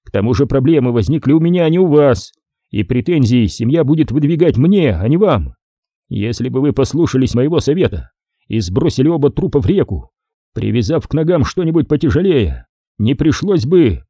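A man's voice: medium pitch, sing-song, a little fast, dark tone, husky, no echo, clean studio sound. A man voicing a hot-tempered, impatient gangster in a story, with a coarse, exasperated manner.

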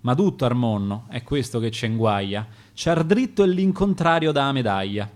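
Male poet, Roman accent, theatrical sonnet reader, lively intonation. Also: mid-range voice, normal speed. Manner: rhythmic, theatrical recitation. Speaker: poet reading his own verse. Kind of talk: recited dialect sonnets, radio theatre.

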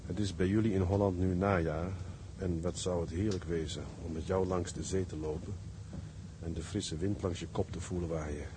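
A man playing a sailor narrating a letter home in an audio drama. His voice is very low, wry and fond, with clear ambient noise behind it. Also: fairly even intonation, moderate pace, lightly acted. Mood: fond, weary.

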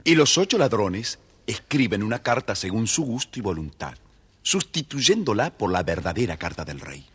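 A male storyteller. His low, husky voice moves through wide, expressive swings as he narrates a scripted tale for children. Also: normal speed.

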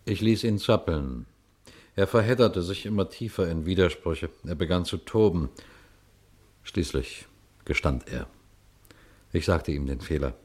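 A low male voice plays a shrewd police detective in a radio crime drama. He speaks deliberately and pointedly, unhurried as he lays out his case and turns directly to his listeners. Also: a little mellow. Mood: calm, explanatory. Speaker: detective and narrator. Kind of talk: German radio crime drama acting and narration.

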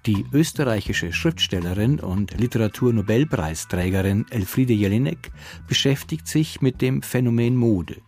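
A man's voice: mid-range voice, lively intonation, normal speed, darkish tone. A man presenting a radio drama, reading a scripted introduction in the role of host. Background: music.

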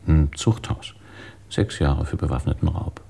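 An actor playing a sober, terse police investigator who puts probing questions in a radio drama, with a gravelly voice. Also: male voice, extremely low pitch, lively intonation, unhurried, mellow, full character voice. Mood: matter-of-fact. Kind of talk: German radio drama acting.

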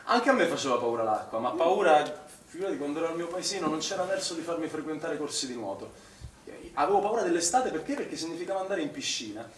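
A man's voice: on the high side, expressive, quick pace, lightly acted. An actor playing a defensive, irritable male swimming instructor in a naturalistic stage drama, agitated and blunt in manner. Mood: reminiscing. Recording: echoey, distant stage recording with noise.

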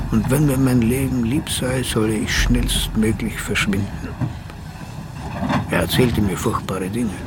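An actor playing an educated psychotherapist in a German radio drama, speaking formally and articulately with anguish and irony. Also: male voice, medium pitch, background noise, lightly acted. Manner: articulate, formal, deliberate phrasing. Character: anguished male psychotherapist, learned and ironic, later desperate.

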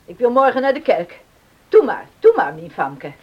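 A woman's voice: a little high, very animated, normal speed, slightly rough, highs cut off. An actress playing a blunt, devout, protective middle-aged mother in a Dutch radio drama, speaking emphatically and homely. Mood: indignant. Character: plain-spoken, devout mother, defiant and protective.

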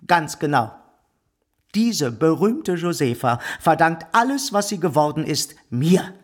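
An actor playing a fat, vain, lecherous bourgeois suitor in a German radio drama, pompous and insistent. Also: male voice, fairly high pitch, sing-song, a little slow. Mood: aggrieved, boastful.

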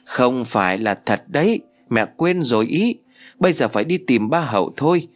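A man's voice: medium pitch, expressive, moderate pace, soft background music, natural voice. A man voicing a chatty, clingy little girl in a read-aloud story, pleading in a whiny child's way. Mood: insistent.

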